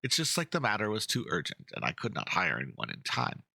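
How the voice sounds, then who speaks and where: A man's voice: lowish voice, huge pitch swings, fairly quick, creaky, sharp tone, dry recording, heavily acted. A man voicing a passionate, pompous painter who speaks in florid, theatrical phrases, in a dramatic reading of game dialogue. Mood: eager, courteous. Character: passionate, fussy male painter, florid and easily indignant.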